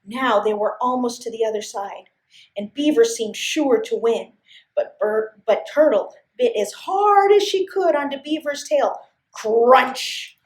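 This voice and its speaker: Lady doing kids story time, slow and super expressive, kinda roomy echo. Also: fairly high pitch. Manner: expressive, sing-song, asks the listeners questions. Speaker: library story time host and reader. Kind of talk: animated storytelling for young children.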